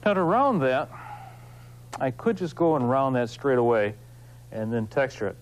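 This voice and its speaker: A man hosting an instructional craft demonstration, explaining informally and in a folksy, unhurried way while he works with his hands. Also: mid-range voice, sing-song. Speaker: woodcarving instructor, show host.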